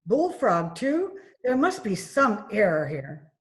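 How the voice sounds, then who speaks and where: A woman's voice: mid-range voice, sing-song, slow pace. A woman playing a suspicious, prim, disapproving mother in old-time radio comedy style. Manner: prim, skeptical. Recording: faint noise and clicks, remote recording.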